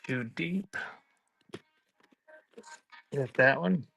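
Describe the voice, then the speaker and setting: Male live-sale host, bright forward voice at middling pitch, slightly unhurried, casual and off-the-cuff, music playing behind. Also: vocal fry, husky. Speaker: live auction seller and host. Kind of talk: casual live-selling chat.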